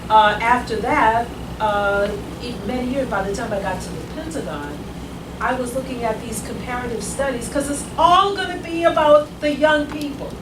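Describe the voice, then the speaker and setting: Older woman, rambling meeting speech, turns emphatic, fairly high voice, distant mic, roomy echo, loud background noise. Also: normal speed. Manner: rambling, grows emphatic and forceful. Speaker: meeting attendee. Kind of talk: impassioned personal story at a meeting. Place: meeting room. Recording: echoey room, far from the mic, loud noise.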